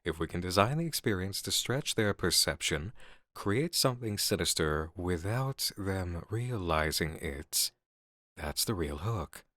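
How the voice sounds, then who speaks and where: Male actor as calculating game developer, low-pitched, measured, articulate, quietly sinister, dry sound, horror audio roleplay. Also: animated, no echo, heavily acted. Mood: calm, persuasive. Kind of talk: scripted ASMR horror roleplay acting.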